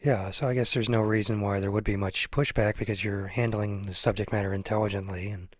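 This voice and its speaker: A man's voice: lowish voice, steady tone, fairly quick, no echo. A man interviewing a guest casually, in a tentative and hesitant way. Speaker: podcast interviewer.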